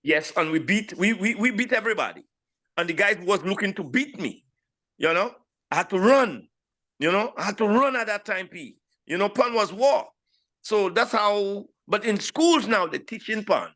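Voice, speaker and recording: A man in his sixties with a St. Lucian accent, telling his story casually as an interview guest, animated and repeating phrases for emphasis. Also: high voice, moderate pace. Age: 60s. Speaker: interview guest, steel pan player.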